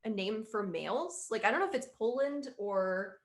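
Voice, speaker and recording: A woman's voice: medium pitch, normal speed. A young woman musician chatting casually in an interview, rambling and quoting herself often.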